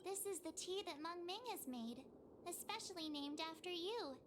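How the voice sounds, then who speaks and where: A woman's voice: very high-pitched, expressive, moderate pace, noisy background. A woman voicing a small, sweet, polite young girl in a video game. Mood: gentle. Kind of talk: scripted video game acting.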